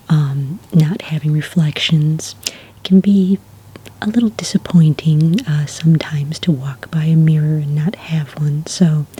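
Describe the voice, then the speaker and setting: Whispering, breathy woman as a friendly witch clerk. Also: deep voice, mellow, heavily acted, rough recording. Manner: unhurried, polite, hesitant customer-service patter. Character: friendly, obliging witch desk clerk at haunted inn. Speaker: ASMR roleplay performer. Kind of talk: soft-spoken whispered ASMR roleplay.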